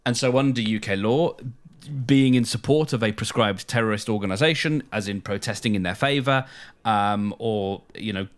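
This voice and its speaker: A British man co-hosting a podcast, explaining things casually in a halting way, often hedging and correcting himself. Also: on the low side. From United Kingdom. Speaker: podcast co-host.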